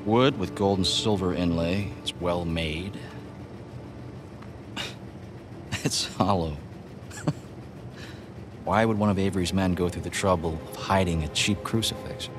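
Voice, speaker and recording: An actor playing a confident, entitled rich young American schemer in a cinematic game, cool and self-assured. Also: male voice, deep voice, slow pace, pauses often, music in the background. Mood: curious.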